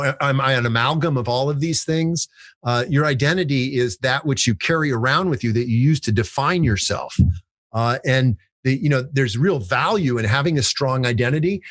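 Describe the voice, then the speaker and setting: A man's voice: medium pitch, fairly bright tone, no room sound, studio quality. A man co-hosting a casual podcast, talking through ideas in a thoughtful way and using made-up examples. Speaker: podcast co-host.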